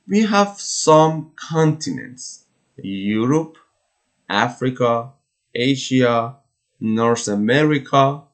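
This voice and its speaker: Male English teacher, mid-pitched, deliberate slow pace, textbook walkthrough. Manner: slow, careful, repeats words for pronunciation. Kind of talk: vocabulary lesson walkthrough.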